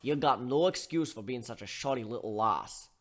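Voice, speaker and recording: A man voicing a gruff, scolding barkeep who gives blunt tough-love lectures in folksy slang in a dramatic story reading. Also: medium pitch, animated, normal speed, fairly bright tone, lightly acted. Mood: firm, advising.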